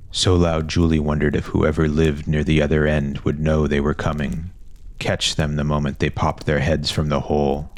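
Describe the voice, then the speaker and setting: A man's voice: very deep voice, dry recording. A man narrating a scripted fantasy story as a storyteller.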